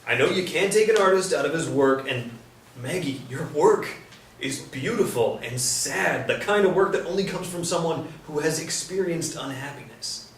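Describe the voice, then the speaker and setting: An actor playing a laid-back, observant male carpenter who gently provokes, in a staged play reading. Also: a little high, expressive, normal speed. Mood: earnest. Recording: roomy, distant stage recording, faint noise.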